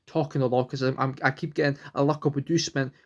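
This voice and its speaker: A man's voice: mid-range voice, steady tone, fast talker. A young man, vlogging at home, rambling earnestly and restarting sentences as he searches for words. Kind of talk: rambling personal vlog.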